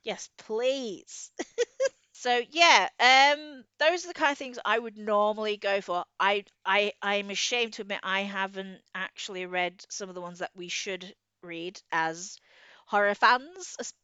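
A woman's voice: medium pitch, unhurried, pushed and bright, clean tone, no room sound, highs cut off. A middle-aged woman chatting casually about books as a podcast co-host, enthusiastic and self-deprecating. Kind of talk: casual book chat.

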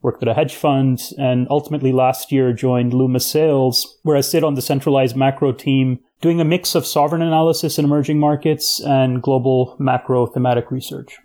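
A man born in Pakistan, a guest on an interview show, explaining history at a measured pace with occasional fillers. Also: mid-range voice, fairly even intonation, a little mellow. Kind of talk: podcast interview answers.

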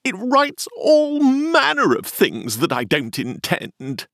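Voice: mid-range voice, wildly swooping pitch, takes it slowly, a bit bright, no echo, studio quality, voice pushed up, heavily acted. A narrator voicing a flustered little storybook King, panting and plaintive.

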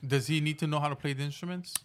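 Young male host, halting curious questions. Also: a little high, fairly even intonation. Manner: hesitant, lots of fillers and restarts. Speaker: podcast host. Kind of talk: casual interview.

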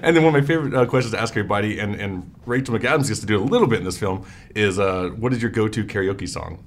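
A man interviewing film actors in a press junket, upbeat and quick to laugh. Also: lowish voice. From Georgia, US.

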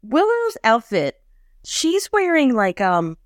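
A woman's voice: fairly high pitch, wildly swooping pitch, slow, no room sound. Woman podcast cohost, chatty, bouncy voice, laughs a lot, dry sound. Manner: chatty, laughs often, acts out quoted lines. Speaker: podcast co-host. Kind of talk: casual episode recap chat.

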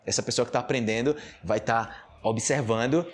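A man's voice: mid-range voice, moderate pace, a little hiss or hum. A man with a Brazilian Portuguese accent talking informally as a teacher and podcast host, explaining things to learners in a conversational way and repeating points for emphasis.

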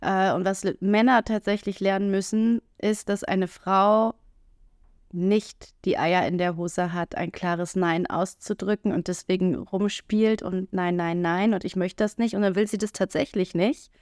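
A woman's voice: mid-range voice, steady tone, measured pace, darkish tone, smooth, no echo, studio quality. A woman co-hosting a casual talk podcast who speaks frankly and earthily, with plenty of filler sounds. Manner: frank and earthy, many "ähm" fillers, acts out quoted lines. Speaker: podcast co-host.

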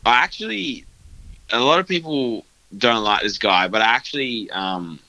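A man's voice: medium pitch, animated, moderate pace, pushed and bright, no echo, rough recording. A young man talking casually in an interview, loose and rambling with lots of fillers. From Australia. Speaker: guest band vocalist.

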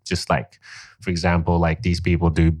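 A man's voice: low-pitched, monotone, moderate pace, darkish tone, dry recording, studio quality. A young man from Liberia, raised in Guinea, telling stories in a rambling, casual podcast chat with lots of fillers. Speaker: podcast guest.

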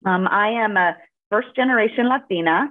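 A woman's voice: medium pitch, moderate pace, dry recording. A woman in her forties, a podcast guest who grew up speaking Spanish, telling her story in an upbeat, fluent way with a few fillers. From Los Angeles, United States. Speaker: podcast guest, corporate lawyer and author. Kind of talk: interview conversation. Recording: dry, phone-like narrow sound.

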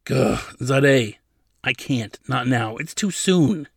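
A man's voice: mid-range voice, animated, takes it slowly, gravelly, slightly rough, no echo, clean studio sound, full character voice. A man voicing a stubborn, sulky eighteen-year-old prince with petulant protests, as in an audiobook. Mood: defeated. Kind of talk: audiobook character dialogue.

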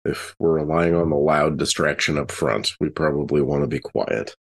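A man's voice: rumbling low, normal speed, creaky, breathy edge. A man chatting and bantering casually as a player in a tabletop role-playing game.

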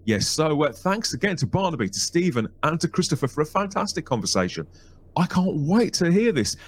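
A man's voice: a little high, sing-song, a little fast, slight background noise. A man hosting a podcast interview over a video call, upbeat and chatty, thanking guests and pointing listeners to links. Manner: upbeat, wraps up with thanks and plugs. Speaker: podcast host. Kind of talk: casual interview chat.

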